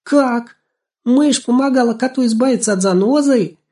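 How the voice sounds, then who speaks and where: Storybook girl, acted by a man: young, curious, easily scared, pitched high, tender and exclaiming. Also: normal speed, dark tone, close to an everyday voice. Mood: amazed. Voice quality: ringing, tender. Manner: exclaiming, full of questions.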